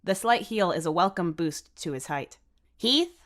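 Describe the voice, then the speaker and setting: Brief: female audiobook narrator, slightly low register, scripted third-person storytelling. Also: lively intonation, normal speed.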